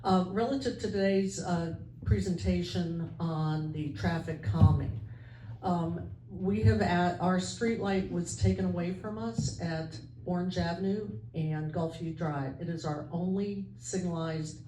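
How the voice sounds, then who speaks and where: A woman's voice: fairly low pitch, mellow, a little hiss or hum. A woman representing her neighborhood association, making a formal request at a public meeting with earnest words and hesitant fillers. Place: meeting hall. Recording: echoey hall from far off.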